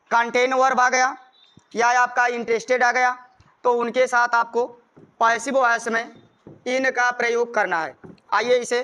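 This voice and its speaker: High-pitched North Indian man teaching. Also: bright, forceful tone, smooth. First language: Hindi. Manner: repetitive, emphatic teacherly delivery, spelling out examples word by word. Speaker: English grammar teacher. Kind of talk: classroom lesson explanation with dictated examples.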